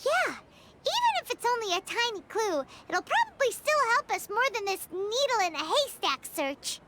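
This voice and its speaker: Squeaky, very high-pitched fairy girl. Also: moderate pace, background noise. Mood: excited, determined. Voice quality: squeaky, childlike. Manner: refers to self in third person, expressive. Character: tiny floating childlike fairy girl companion, chirpy and earnest. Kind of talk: video game cartoon voice acting. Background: background music.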